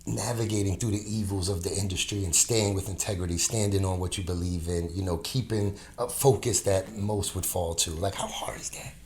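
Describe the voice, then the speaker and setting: A man hosting a podcast, asking relaxed, drawn-out questions with plenty of fillers. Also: low-pitched. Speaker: podcast host. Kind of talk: casual interview questions.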